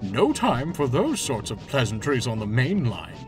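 A man's voice: medium pitch, big pitch swings, normal speed, music in the background, natural voice. A man voicing a stern, disapproving old railway coach in a storybook, with a curt manner. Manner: curt, retorting.

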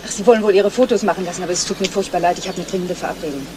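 An actress playing a young fiancée in a radio crime drama, brisk, evasive and defiant about money. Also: female voice, on the low side, steady tone, natural voice. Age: young adult. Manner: brisk, defensive, politely evasive. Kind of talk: 1970s German radio crime drama acting. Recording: noisy old radio play recording.

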